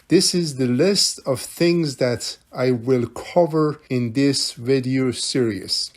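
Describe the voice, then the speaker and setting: A man's voice: mid-range voice, vocal fry. Older man chatting through a radio repair. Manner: chatty, thinking aloud while working. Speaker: electronics repair hobbyist. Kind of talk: casual repair tutorial. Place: home workshop room.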